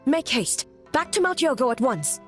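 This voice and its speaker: A woman's voice: high voice, big pitch swings, faint music behind. An actress voicing a reserved, commanding female shogun with formal speech in a video game. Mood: urgent, commanding. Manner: formal, measured wording.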